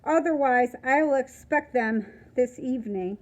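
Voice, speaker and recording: A woman reading the part of an apologetic but firm male school headmaster in a storybook, formal and brisk. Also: high-pitched. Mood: formal, regretful.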